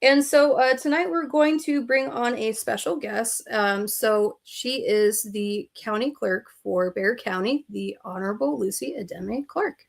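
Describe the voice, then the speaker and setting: High-voiced chatty woman host. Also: measured pace, creaky, hardly any pauses. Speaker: podcast host. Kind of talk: casual chat, interview.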